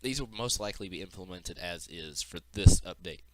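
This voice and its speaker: A man's voice: low-pitched, brisk, very noisy. A man giving an informal, unscripted walkthrough of a game demo as its developer, explaining as he goes, with audible breathing into the mic.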